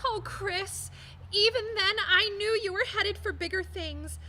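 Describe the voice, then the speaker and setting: A woman playing an apologetic, halting old flame calling in during a comic radio play. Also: extremely high pitch, pushed and bright, exaggerated voice. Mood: wistful. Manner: halting, pleading. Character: tearful apologetic old flame phoning in, theatrical. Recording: noisy speakerphone-style line.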